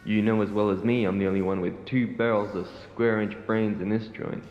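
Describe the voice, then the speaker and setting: A man's voice: lowish voice, flat delivery, brisk, natural voice. A man voicing a self-important hotshot detective who talks in clipped noir catchphrases, in a parody style. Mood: cocky. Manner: clipped hard-boiled catchphrases. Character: hotshot disgraced male detective, hard-boiled, self-important, dim. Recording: muffled lo-fi, reverberant, faint music.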